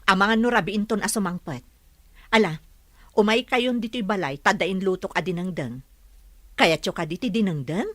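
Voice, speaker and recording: A woman's voice: mid-range voice, moderate pace, fairly bright tone, dry recording, clean studio sound, natural voice. A woman playing a kindly, outspoken neighbour in an audio drama, concerned and full of questions. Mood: inviting, coaxing. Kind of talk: audio drama acting in Ilocano.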